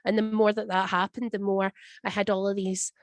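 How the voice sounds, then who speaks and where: A Scottish woman in her thirties whose voice is husky from a cold. She talks in a chatty, wry way and acts out the people in her stories. The sound is dry and close, with no room around it. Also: mid-range voice, little pitch movement, fairly quick, no echo. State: husky voice from a cold, sniffling and coughing. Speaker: guest. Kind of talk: casual interview answers. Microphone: video call.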